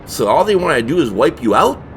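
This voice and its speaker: A man plays a cranky brother in a comedy horror audio drama. His voice is high and swings widely in pitch. He is sarcastic and exasperated, with faint noise behind him. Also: sing-song, a little fast, poor audio.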